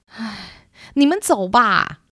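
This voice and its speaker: A woman voicing a brooding dark witch, hurt but reasonable, with a measured, resigned manner in a children's radio play. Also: on the high side, very animated, dry recording, clean studio sound, heavily acted.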